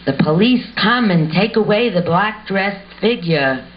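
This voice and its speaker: Slow-paced woman narrator. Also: medium pitch, animated. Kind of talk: scripted narration of stage directions. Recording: muffled home recording with hiss.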